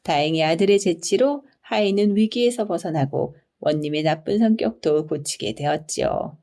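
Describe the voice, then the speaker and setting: A woman narrating scripted bedtime folktales for children in an expressive storyteller's manner. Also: on the low side, normal speed. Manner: storyteller's expressive, polite explaining delivery.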